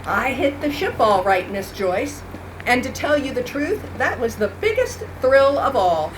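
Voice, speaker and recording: Woman as proud war mother, 1940s radio acting, measured delivery, background noise. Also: sits high, big pitch swings. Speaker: reenactor reading a radio script. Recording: noisy live event recording.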